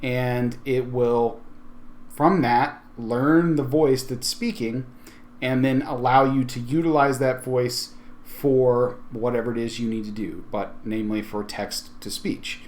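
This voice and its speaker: A man giving an informal, conversational software walkthrough as a tech video host, thinking aloud as he goes. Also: mid-range voice, steady tone, takes it slowly. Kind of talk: casual software tutorial walkthrough.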